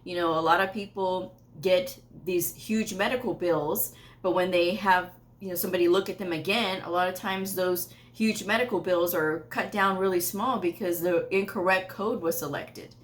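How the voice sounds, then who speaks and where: A woman in her thirties speaks straight to camera from a small home office, giving career advice in a slightly low voice. She is firm and emphatic, driving her points home by repeating them, with an occasional laugh. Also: steady tone, moderate pace. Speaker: medical coding educator.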